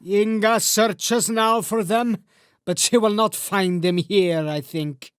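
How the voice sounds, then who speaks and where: A man playing a grave, weary old Norse warrior in an audiobook, speaking in solemn, measured, formal phrases. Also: high-pitched, steady tone, smooth, no room sound, clean studio sound. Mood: solemn, reflective. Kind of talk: audiobook character acting.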